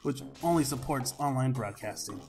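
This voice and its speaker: Man playing an eager mid-pitched young mercenary. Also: normal speed, soft background music, close to an everyday voice. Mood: eager, explaining. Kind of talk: fan-dub video game voice acting.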